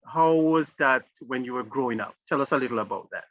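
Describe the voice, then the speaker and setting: A man's voice: medium pitch, brisk, a bit bright, dry recording, muffled recording. Emphatic male interview host. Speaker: show host. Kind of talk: hosting an interview show.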